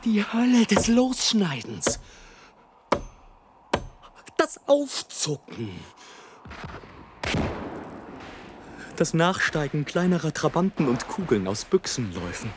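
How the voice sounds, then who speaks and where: A man's voice: a little high, very animated, unhurried, background noise. An actor playing a fervent airship adventurer in a radio play, declaiming his flight in poetic, theatrical phrases. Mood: frantic exaltation.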